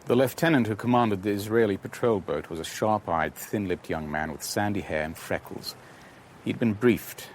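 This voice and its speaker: A male actor is the first-person narrator of a seafaring radio play. He is calm and matter-of-fact, lowish in pitch, with noise and ambience behind him. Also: moderate pace, close to an everyday voice. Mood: calm, measured.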